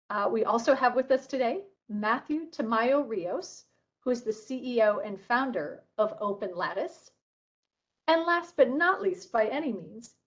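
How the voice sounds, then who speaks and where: A woman opens a panel session with formal, upbeat remarks. Her voice sits a little high, and she reads smoothly from prepared text. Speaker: moderator. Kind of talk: formal event introduction.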